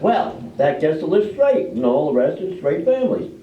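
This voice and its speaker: A brisk small-town official , played by a man in amateur readers theater, folksy and in charge. His voice sits on the high side for a man. It is caught from across a roomy space, slightly muffled. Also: sing-song, noisy background. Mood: businesslike. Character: brisk folksy village official running a lottery drawing. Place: live performance in a library room. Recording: roomy, distant, dull live library recording.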